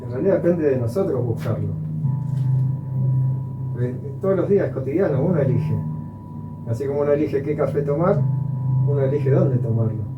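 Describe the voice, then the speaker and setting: A man's voice: medium pitch, fairly quick, long pauses. Rambling Argentine man in an echoey room. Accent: Argentine Spanish. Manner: reflective, rambling, restarts often. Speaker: coffee educator. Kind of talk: casual conversation. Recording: echoey room, far from mic, dull sound, loud music.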